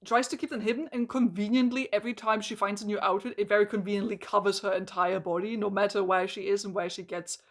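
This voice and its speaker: Hoarse woman ranting about a book. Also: mid-range voice, steady tone, quick pace, hardly any pauses. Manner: exasperated, emphatic ranting with frequent "like" and restarts. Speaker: book reviewer.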